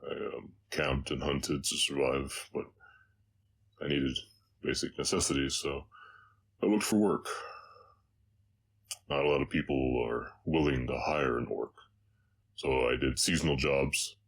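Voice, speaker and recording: Male actor for haunted, soft-hearted orc cowboy, audio drama. Rumbling bass, unhurried and hesitant, slight Southern US accent. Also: slight background noise. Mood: reflective, hesitant. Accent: slight Southern American. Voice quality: very deep. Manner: halting, hesitant, reflective. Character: gruff, gentle orc cowboy, haunted by his past. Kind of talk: slow intimate audio roleplay monologue.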